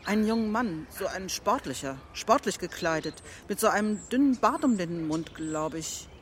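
An actress plays an elderly woman in a radio drama, a hesitant and vague witness. Her voice is medium in pitch, with faint noise behind it. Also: expressive, moderate pace, natural voice. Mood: uncertain.

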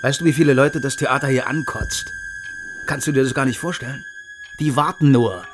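An actor playing a menacing, hard-drinking East German hardliner in a radio crime drama, with a clipped, needling, conspiratorial manner. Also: male voice, medium pitch, moderate pace, background noise, close to an everyday voice.

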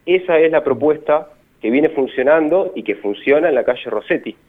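A man's voice: a little high, lively intonation, moderate pace. A man with a Buenos Aires accent gives earnest, emphatic, spontaneous answers as an activist in a radio interview, repeating phrases for stress. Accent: Buenos Aires Argentine Spanish. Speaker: community garden activist interviewed by phone. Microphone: phone call. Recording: narrow tinny phone line.

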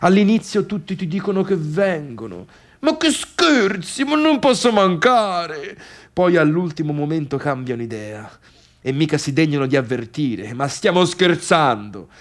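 A bitter, sarcastic man who failed as a pianist and now works as a critic. His voice sits fairly high, with a Tuscan accent. He complains in colloquial speech, in the manner of a radio theatre monologue. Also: big pitch swings, a little slow. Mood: aggrieved, scornful. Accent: Tuscan Italian. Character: bitter, sarcastic male failed pianist turned music critic, grumbling at guests.

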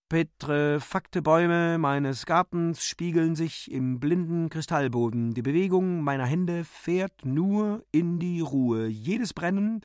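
A man giving a solemn, declamatory and deliberate dramatic recitation of literary text for a radio play. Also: a little high, darkish tone, dry recording, clean studio sound. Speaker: narrator and reciter.

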